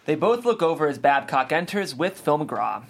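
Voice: mid-range voice, sing-song, moderate pace, gravelly. A narrator reading screenplay stage directions aloud at a table read.

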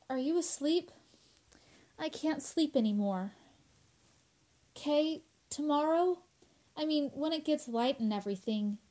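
A woman's voice: sits high, dark tone. A woman playing a frightened, fragile young woman in a radio horror drama, anxious and devoted, pleading breathlessly and repeating herself. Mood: uneasy, unsure.

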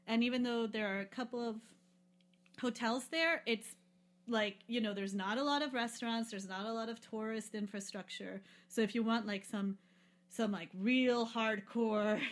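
A woman chatting casually as a podcast guest, loose and rambling, with many fillers and frequent laughs. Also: on the high side. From lives in Peru. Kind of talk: casual travel chat.

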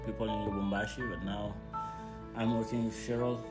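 A young Congolese man speaking English as a second language, Swahili his first, talking softly in a casual interview with frequent restarts. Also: on the low side, level tone. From Democratic Republic of the Congo. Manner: soft-spoken, halting, with frequent restarts and laughs. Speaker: missionary team member, guest. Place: house in a neighbourhood. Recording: music in the background.

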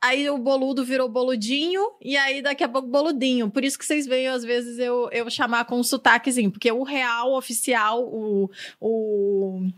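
Playful Brazilian woman, fairly high voice. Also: fairly even intonation, normal speed, hardly any pauses, a bit bright. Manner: playful, joking, storytelling with restarts. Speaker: podcast co-host. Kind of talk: casual chat.

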